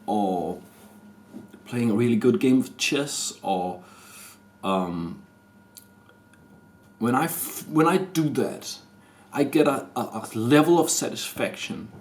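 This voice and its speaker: A man's voice: medium pitch, expressive. A man of thirty with a Danish accent, talking to his camera in a hesitant, rambling personal confession full of restarts. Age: 30s. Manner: hesitant, halting, many restarts and fillers. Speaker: vlogger. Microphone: camera mic.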